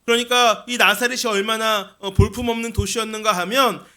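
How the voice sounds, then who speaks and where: A man's voice: high voice, steady tone, brisk, sharp tone, soft background music. A man preaching a sermon as a pastor, explaining scripture with rhetorical questions and repeated key phrases.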